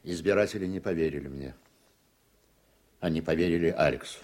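A man's voice: low-pitched, a little fast, a little mellow, lightly acted. An actor playing a subdued, bitter, dejected man in a radio play. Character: dejected, low-voiced man brooding over an election defeat.

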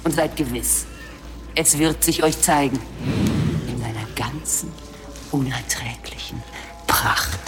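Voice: mid-range voice, slow, long pauses, lightly acted. An actor in a radio drama playing a menacing seer, speaking ominously and dropping into whispers. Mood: ominous, reverent. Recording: noisy background ambience.

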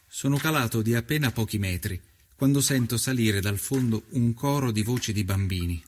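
An actor playing an earnest nineteenth-century Italian investigative journalist, narrating his reportage in measured, literary first person for a documentary. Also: medium pitch, slight background noise, natural voice. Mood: grave, descriptive.

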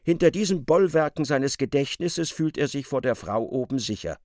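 A man acting as the sardonic narrator of a literary radio play, articulate and expressive. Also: medium pitch, normal speed, no echo, studio quality, natural voice. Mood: intent. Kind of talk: dramatised scripted narration.

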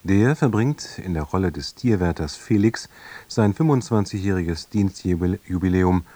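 Deep, mellow male radio announcer. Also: measured pace. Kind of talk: scripted programme announcement.

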